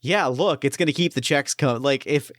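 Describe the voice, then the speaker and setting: A man running a tabletop game as game master, thinking aloud and pitching story ideas with eager restarts. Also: normal speed, a bit bright, no room sound, clean studio sound. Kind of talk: collaborative planning for a tabletop game.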